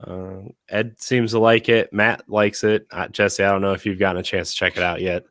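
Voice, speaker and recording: Deep-voiced man hosting a podcast, slightly raspy. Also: little pitch movement, normal speed, a bit bright, no room sound, clean studio sound. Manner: rambling, many fillers and restarts. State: slightly raspy from being sick. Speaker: podcast host. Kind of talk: casual chat.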